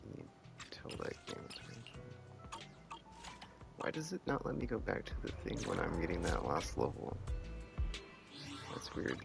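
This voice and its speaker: Very deep, gravelly male game streamer. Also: huge pitch swings, a little slow, pauses often, music in the background. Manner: rambling, thinking aloud. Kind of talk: casual live gameplay commentary.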